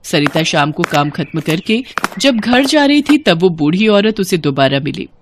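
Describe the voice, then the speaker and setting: A woman's voice: on the low side, sing-song, normal speed, no echo. A woman narrating a scripted moral story as a storyteller.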